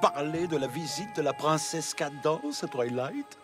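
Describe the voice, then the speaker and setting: A theatrical, scheming dragon creature from a cartoon. His high voice swoops wildly as he wheedles with exaggerated politeness and self-pity. Music plays clearly behind him. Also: big pitch swings, measured pace. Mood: sly, mock innocent. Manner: melodramatic, mock-polite, wheedling. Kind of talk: French cartoon dub acting. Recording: loud background music.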